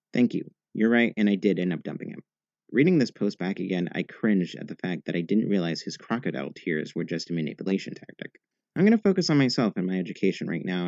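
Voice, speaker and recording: A man narrating online posts in a steady, even reading voice. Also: low-pitched, no echo. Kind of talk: scripted narration. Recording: slightly dull, dry recording.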